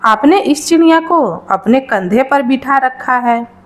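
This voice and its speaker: Nagging village wife acted by a woman narrator, storybook: scornful, suspicious, high voice with big swings. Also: animated, takes it slowly. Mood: scolding. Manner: scolding, sarcastic, suspicious.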